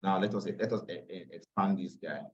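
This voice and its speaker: A man's voice: deep voice, fairly even intonation, a little fast, a little mellow, slight background noise. A tired man teaching a live online trading class, repeating phrases and slipping into Pidgin asides. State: tired after a long day. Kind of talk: live online class walking through charts. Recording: distant, dull lo-fi call audio.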